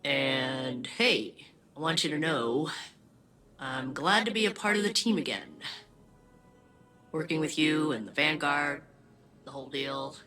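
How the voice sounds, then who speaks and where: Perky lady ship computer from a video game, bright n swoopy, kinda robotic and eager. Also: low-pitched, lively intonation, a little slow, long pauses, faint music behind, exaggerated voice. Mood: flat, sincere. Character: perky, eager female ship AI, quirky and helpful.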